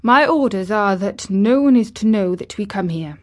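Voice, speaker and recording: A woman's voice: medium pitch, lively intonation, normal speed, close to an everyday voice. A woman voicing a lordly young boy who gives orders in a decisive, condescending manner, as in a storybook. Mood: lordly, commanding. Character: imperious young boy, lordly, commanding, eager.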